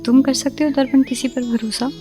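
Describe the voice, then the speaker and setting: A guarded, bittersweet young woman, as an actress plays her, wry and poetic, with a fairly high voice. Faint music plays under her lines in this studio radio play. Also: little pitch movement, fairly quick, mellow, natural voice. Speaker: radio drama actor.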